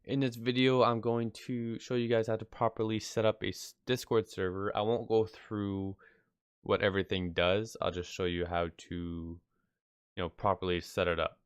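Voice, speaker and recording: A man hosts a casual how-to video in a deep, clean voice, slightly nasal with a head cold. He rambles and hesitates in an offhand way. The sound is dry and close on the microphone. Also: level tone, moderate pace, clean tone, dry recording. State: sick with stuffy nose. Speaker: video tutorial host. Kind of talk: casual unscripted software tutorial. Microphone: close mic, screen-recording voice-over.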